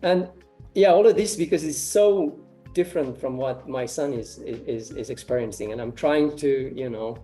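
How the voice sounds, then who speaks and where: Hesitant Romanian-raised man, mellow voice. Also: medium pitch, normal speed, soft background music. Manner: hesitant, many fillers and repeated starts. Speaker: interviewee, artist and ecology researcher. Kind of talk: casual interview answers.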